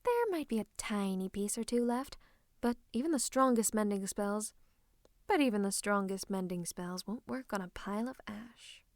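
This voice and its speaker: A woman's voice: medium pitch, a little mellow, dry recording, clean studio sound. A woman playing a scheming royal advisor and sorcerer in an ASMR roleplay, smug and mock-courteous, slipping into menacing whispers. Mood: smug, amused. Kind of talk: ASMR roleplay, theatrical monologue to the listener.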